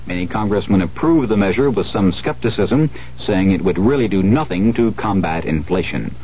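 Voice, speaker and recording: A man with an American accent reads the news in a very low voice. His delivery is that of a scripted radio bulletin. The recording is muffled, with a faint hiss. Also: animated, normal speed, mellow.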